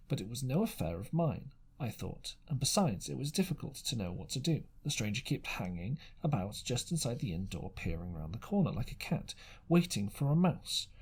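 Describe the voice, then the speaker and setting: A man narrating an adventure audiobook as an amateur reader, dramatic in style but halting, stumbling over phrases and rereading them. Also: mid-range voice, a little mellow, breathy edge.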